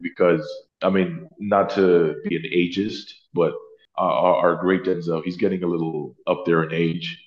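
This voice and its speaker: A man with a deep baritone voice, talking earnestly as an actor guest in a casual interview, often pausing to correct himself. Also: little pitch movement. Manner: earnest, thoughtful, self-correcting. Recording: roomy, slightly dull sound.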